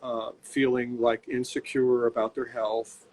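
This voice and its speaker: A man's voice: mid-range voice, flat delivery, measured pace, darkish tone, slight background noise, poor audio. An older man, a bootmaker, chatting casually in an interview over a video call, rambling and restarting his sentences. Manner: rambling, repeats words and restarts. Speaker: bootmaker and shop owner. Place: home workshop.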